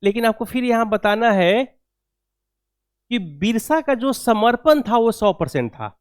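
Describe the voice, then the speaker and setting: A man's voice: very high voice, expressive, measured pace, smooth, no room sound, studio quality. A man teaching history for exam coaching, lecturing didactically, repeating key phrases and asking rhetorical check questions. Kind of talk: classroom lecture with dictated answer points.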